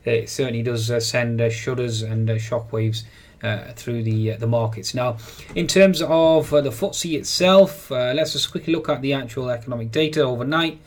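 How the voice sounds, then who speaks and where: Man, market analyst, voice-over on charts, filler-heavy, hesitant delivery, medium pitch. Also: moderate pace. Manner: hesitant, many "uh" fillers, repeats "certainly". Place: voice-over on screen recording.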